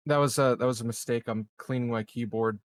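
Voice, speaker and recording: A man's voice: medium pitch, fairly even intonation, a little fast. A man chatting casually as a player in a tabletop roleplaying game.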